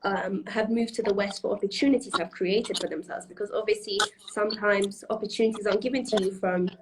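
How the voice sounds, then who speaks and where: A woman's voice: medium pitch, steady tone, a little fast, noisy background. A young woman from Iran, a podcast guest, talking casually with lots of fillers, restarts and laughter. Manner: chatty, laughs often, many fillers and restarts. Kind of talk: casual interview chat.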